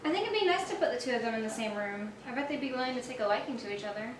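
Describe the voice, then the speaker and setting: An actress playing a poised, wryly teasing and reassuring star's wife in a stage play. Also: female voice, on the high side, lively intonation, racing, lightly acted. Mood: chatty. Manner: wry, reassuring. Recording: distant, echoey, noisy stage recording.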